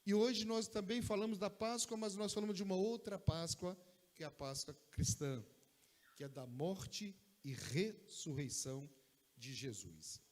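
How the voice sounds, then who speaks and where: A man from Brazil with a Brazilian Portuguese accent, preaching a sermon in a conversational way, often tossing in quick asides to check the congregation is with him. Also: high voice, a little mellow. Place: church sanctuary. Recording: long church hall reverb.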